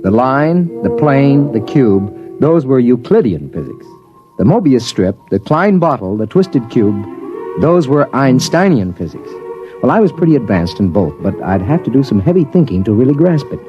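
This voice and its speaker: A man's voice: medium pitch, very animated, normal speed, lightly acted. An actor playing an earnest young father who narrates his story thoughtfully, in a 1950s radio drama. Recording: muffled old radio broadcast, faint music.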